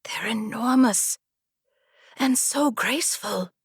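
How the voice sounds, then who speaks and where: A woman acting an eager, breathy young horse lover. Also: fairly high pitch, slow pace, dry recording, studio quality, voice pushed up, full character voice. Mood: awed, urging. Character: eager, awestruck young horse-loving woman, a failed trainee.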